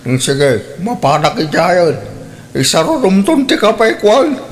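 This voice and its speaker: High-pitched, grumbling old man. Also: very animated, takes it slowly, heavily acted. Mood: gruff teasing. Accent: Ilocano. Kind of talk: radio drama acting.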